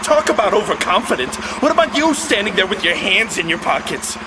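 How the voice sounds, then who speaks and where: An actor playing a tense, rich young team captain in a cartoon, urgent and indignant. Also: male voice, sits high, very animated, a little fast. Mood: accusing. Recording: clear background noise.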